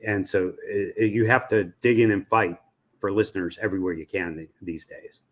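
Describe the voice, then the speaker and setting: An older American man with a fairly low voice speaks as an interviewed expert. He explains things analytically, building his sentences in halting pieces and circling back to repeat a phrase. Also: fairly even intonation, a bit bright. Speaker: guest, media research executive.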